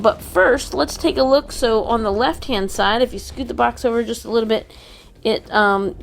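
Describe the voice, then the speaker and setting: A woman co-hosting a casual unboxing show, chatty and enthusiastic as she points out details. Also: fairly high pitch. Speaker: co-host of a toy unboxing show. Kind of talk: casual product show-and-tell. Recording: faint background noise.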